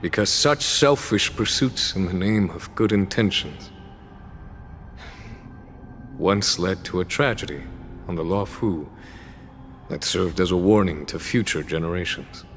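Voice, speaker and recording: An actor playing a composed, wise military general who explains matters in a measured, reflective way, in a game cutscene. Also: male voice, deep voice, a bit bright, a little hiss or hum. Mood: calm, explaining. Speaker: army general. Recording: reverb with background music.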